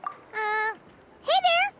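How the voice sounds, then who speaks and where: A woman voicing a tiny, excitable, blunt flying fairy companion in a video game, squeaky and exclamatory. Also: drawn-out words, slight background noise, full character voice. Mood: curious, chatty. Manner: squeaky, exclamatory, talks of self in third person. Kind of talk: animated video game character acting. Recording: background music under the voice.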